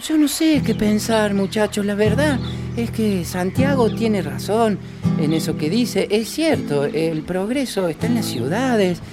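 A man's voice: high voice, expressive, measured pace, loud music behind the voice. A man with a northern Argentine accent playing a restless young country man in a radio play who dreams of city progress. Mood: thoughtful.

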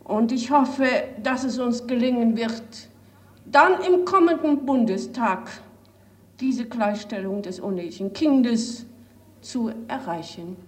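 A woman playing an earnest, resolute socialist politician giving an emphatic, deliberate 1940s radio address. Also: a little high. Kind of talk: audio drama re-enactment of a 1940s radio address. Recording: roomy echo, distant from microphone.

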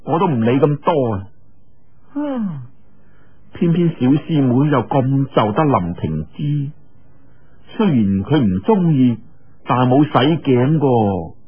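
A man's voice: mid-range voice, very animated, slow pace, long pauses, breathy edge, phone-quality sound, full character voice. A man voicing the inner thoughts of a lovelorn, anxious young swordsman in an audiobook, self-mocking and full of sighs and exclamations. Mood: wistful. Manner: sighing, exclaiming.

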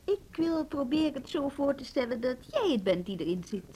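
A woman's voice: high voice, big pitch swings, moderate pace, dark tone, slight background noise. An actress playing a determined, diplomatic woman from a music school in a radio drama, earnest and persuasive, with a Netherlands Dutch accent. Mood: firm, pressing. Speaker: actor in a radio play.

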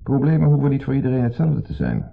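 An actor playing an insecure, jealous husband in a 1970s radio drama, mock-polite and sarcastic in a clipped way. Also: male voice, medium pitch, wildly swooping pitch, fast talker, gravelly, heavily acted. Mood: defensive, sarcastic. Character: insecure, jealous husband, needling host masking hostility. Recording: muffled 1970s radio recording, music behind.

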